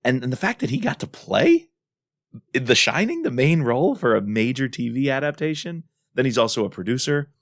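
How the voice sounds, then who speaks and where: A man's voice: medium pitch, big pitch swings, moderate pace, no echo, clean studio sound. A man co-hosting a podcast in a casual, enthusiastic and emphatic way. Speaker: podcast co-host.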